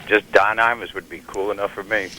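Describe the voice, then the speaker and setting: Low, bright-voiced male phone caller. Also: steady tone, brisk. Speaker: contest caller. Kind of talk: casual phone-in chat. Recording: telephone call with faint noise.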